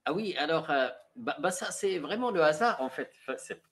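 A man from Morocco, a poet and translator, speaking slowly and haltingly with many restarts at a panel talk before an audience. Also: on the high side, animated, fairly bright tone. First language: Moroccan Arabic. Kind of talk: panel discussion. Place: café venue with audience.

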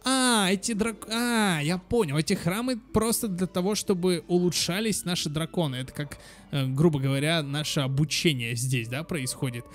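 A man's voice: on the high side, normal speed. A young man giving casual let's play commentary for children, chatty, thinking aloud and repeating words. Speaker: gaming channel host. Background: game music.

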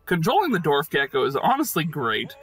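A man's voice: on the high side, wildly swooping pitch, brisk, over loud music. A man delivering scripted commentary on a video game.

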